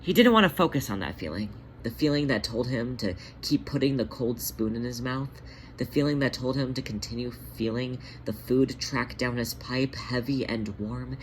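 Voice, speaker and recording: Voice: mid-range voice, moderate pace, a little hiss or hum, low-quality recording. A narrator reading a story aloud in scripted, audiobook-style narration.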